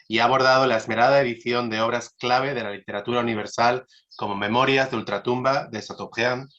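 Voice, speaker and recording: A man's voice: mid-range voice, a bit bright. A man hosting an interview, reading a formal introduction with stumbles and corrections. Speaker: interviewer and host. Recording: dull lo-fi sound.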